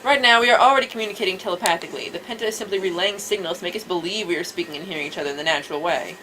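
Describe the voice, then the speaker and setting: A woman's voice: on the low side, racing, bright, forceful tone, a little hiss or hum, rough recording. A woman hosting a let's play, reading game dialogue aloud and bantering in a teasing, sarcastic way. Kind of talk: playful reading of visual novel dialogue with casual banter. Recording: background game music.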